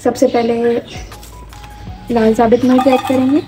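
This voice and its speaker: A woman hosting a cooking channel, calmly talking through a spice recipe step by step. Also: a little high, a little slow, music in the background, poor audio. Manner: calm, instructive, explains each step.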